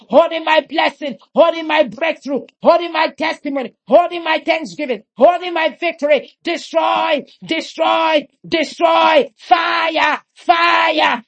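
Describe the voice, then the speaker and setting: A woman leading a fervent prayer, shouting repeated declarations and speaking in tongues. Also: high-pitched, gravelly. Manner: chanting, repetitive, declaring. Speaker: prayer leader.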